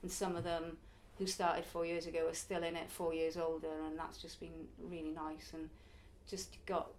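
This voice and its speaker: A woman's voice: deep voice, level tone, normal speed, a little mellow, a little hiss or hum. A woman in her early forties, a circus performer and youth circus teacher, answering interview questions in a chatty, reflective way. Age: early 40s. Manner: chatty, reflective, laughs now and then.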